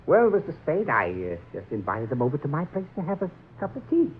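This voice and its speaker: Gentle, remorseful elderly man: husky, very animated intonation, halting and sincere, thin old radio recording. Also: a little high, soft background music, heavily acted. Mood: delighted. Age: older. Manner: earnest, halting, pleading. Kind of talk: 1950s radio drama acting. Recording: tinny, narrow-band vintage radio recording.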